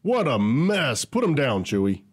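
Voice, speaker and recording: A man plays a cocky smuggler rogue in a sci-fi audio drama. He is brash and dismissive, with lively, swinging intonation. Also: medium pitch, measured pace, breathy edge.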